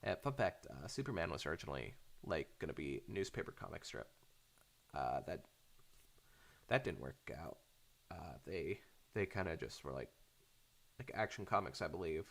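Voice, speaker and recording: Man, low gravelly voice, swooping intonation, casual rambling, hesitant and halting delivery. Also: very animated. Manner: hesitant, halting, trails off into tangents. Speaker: fandub creator talking off the cuff.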